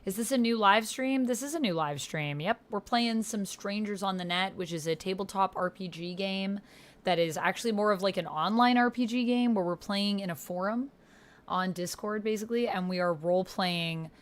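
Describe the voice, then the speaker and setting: A woman's voice: medium pitch, moderate pace. A woman hosting a tabletop roleplay livestream, chatting casually and thinking aloud. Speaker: livestream host and tabletop player. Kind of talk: casual livestream chat, reading out typed roleplay.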